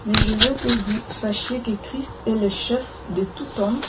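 A woman's voice: a little high, normal speed, noisy background. A woman from Congo taking part in a Bible study, reading verses aloud and answering hesitantly. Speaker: study participant. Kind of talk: casual group discussion, reading aloud.

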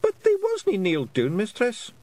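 A man voicing a small, bold fairy man in a high, gruff brogue. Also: huge pitch swings, moderate pace, a little mellow. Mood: indignant.

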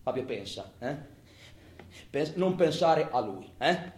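A man's voice: medium pitch, sing-song, slow pace, a little mellow. Tormented, volatile man in echoey drama. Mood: anguished. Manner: foul-mouthed, muttering, abrupt swings between murmur and outburst. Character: tormented, volatile criminal man, taunting and cursing himself. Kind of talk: theatrical monologue, radio stage drama. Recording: roomy echo, faint background noise.

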